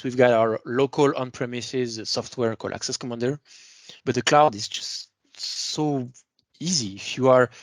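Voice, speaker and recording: A man with a mid-pitched voice presents a product webinar in an informal, explanatory way. He leans on key words as he makes his sales points. The sound is dry, with very little room in it. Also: no room sound.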